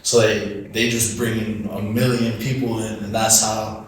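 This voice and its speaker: Laid-back young man, low voice, roomy. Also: a little slow, slight background noise. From Hawaii, born in Arizona. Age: young adult. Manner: relaxed, rambling, heavy use of "like". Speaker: guest, student athlete. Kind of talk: casual interview chat. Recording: roomy, mic far off.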